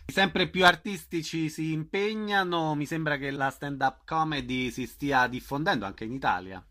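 A man with a fairly high, bright voice conducts an interview. He speaks conversationally, in the manner of a radio interviewer putting questions to a guest. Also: normal speed.

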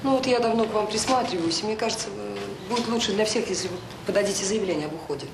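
An actress as a principled woman running a children's home. She talks quickly at a medium pitch, stern and restrained. The radio-play recording is roomy, with clear background noise. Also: natural voice. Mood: firm, restrained. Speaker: actor in an audio drama. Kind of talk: Soviet radio drama acting.

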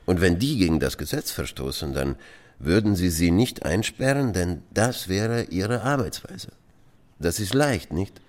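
Smug deep-voiced henchman interpreter, mock polite, really swoopy intonation, radio play. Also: animated. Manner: halting, mock-polite, condescending. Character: smug Russian gangster's interpreter, politely menacing man. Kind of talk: radio drama acting.

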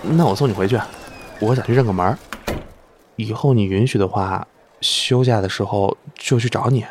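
A man's voice: on the low side, normal speed, a little hiss or hum, natural voice. A man acting an effusive, devoted young celebrity idol in a Chinese audio drama, boyishly clingy and gushing. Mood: eager, clingy. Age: young adult. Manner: gushing, pleading, swinging from excited to earnest.

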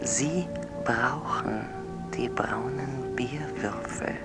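An actress playing an eerie, insistent woman caller in a surreal radio drama, dreamy and halting, often dropping to a breathy whisper. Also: extremely low pitch, heavily acted. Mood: dreamy, teasing. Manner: slow, halting, hypnotic, repeating herself. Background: music behind the voice.

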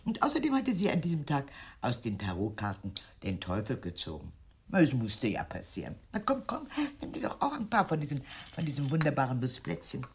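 An actress playing a chatty, eccentric older aunt in a German radio play, gushing, theatrical and breezily insistent. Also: female voice, extremely low pitch, wildly swooping pitch, brisk, a little hiss or hum, heavily acted. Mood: wistful, chatty.

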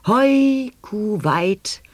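An actor in a radio play declaiming nonsense sound poetry in slow, halting word-by-word exclamations. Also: male voice, high voice, expressive, no echo, exaggerated voice.

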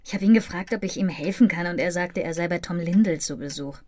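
An actress playing an anxious, shaken small-town woman with a hesitant, breathy manner in a crime radio drama. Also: on the low side, quick pace, a little mellow, close to an everyday voice. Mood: earnest.

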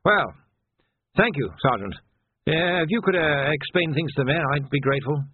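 A man's voice: a little high, lively intonation, moderate pace, husky, no room sound, pitched above the performer's own voice. A man voicing a flustered, proud but doubting police captain of nearly seventy, hesitant and full of old-fashioned military bluster, in an audiobook. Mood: earnest, hesitant. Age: 60s. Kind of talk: audiobook character voice. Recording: narrow, phone-like band.

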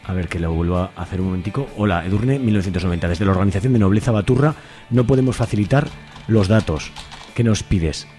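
A young man with a Spanish accent playing a casual, slightly jealous young protester in a radio drama, with halting, colloquial speech. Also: sits low, warm tone, soft background music, lightly acted. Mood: hesitant, formal. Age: young adult. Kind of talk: amateur radio drama acting.

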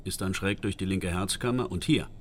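An actor playing a matter-of-fact police forensic analyst in a radio drama, explaining things in a businesslike way. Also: male voice, sits low, brisk, a little hiss or hum, close to an everyday voice. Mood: focused. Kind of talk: radio drama, technical briefing.

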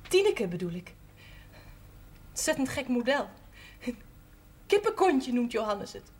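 A woman's voice: sits high, big pitch swings, a little slow, slightly rough, exaggerated voice. A woman playing a resigned, matter-of-fact young wife and mother in a naturalistic television drama. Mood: polite, chatty. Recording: noisy old television drama soundtrack.

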